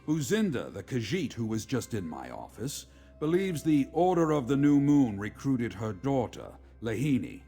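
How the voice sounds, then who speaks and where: Weary commander, gravelly and expressive. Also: male voice, mid-range voice, soft background music. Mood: stern, businesslike. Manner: measured, formal, authoritative. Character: weary, dutiful middle-aged male legion commander. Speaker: game character, military commander. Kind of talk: scripted video game dialogue.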